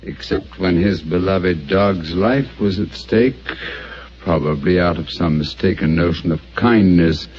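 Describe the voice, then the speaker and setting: An actor playing a calm, methodical master detective who talks in a measured, explanatory way, on an old radio drama. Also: male voice, deep voice, breathy edge. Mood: somber. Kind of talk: 1940s radio acting. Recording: dull old radio broadcast with faint hiss.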